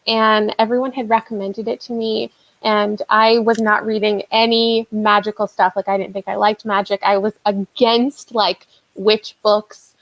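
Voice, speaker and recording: A woman's voice: a little high, a bit bright, low-quality recording. A woman co-hosting a casual book discussion, telling things in an informal, emphatic way. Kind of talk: casual chat about books.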